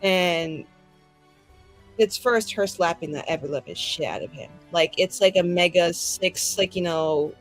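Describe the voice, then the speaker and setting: A woman's voice: fairly low pitch, a little slow, poor audio. A woman improvises her part in a tabletop roleplaying game. She talks casually, rambling and swearing, with plenty of slang. Music plays under her voice.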